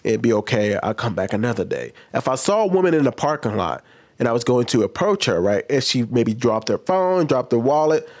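American man, thirties, medium pitch, casual commentary, conversational, self-questioning, home studio microphone. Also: very animated, brisk, darkish tone. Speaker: commentary video host. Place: small home room studio. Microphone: microphone on boom arm.

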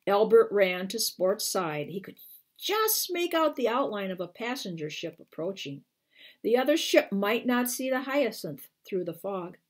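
Husky older woman reading a storybook aloud. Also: medium pitch. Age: 60s or older. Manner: deliberate, expressive reading with brief explanatory asides. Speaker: children's book author reading aloud. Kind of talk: storytime read-aloud to camera. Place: small living room at home, indoors.

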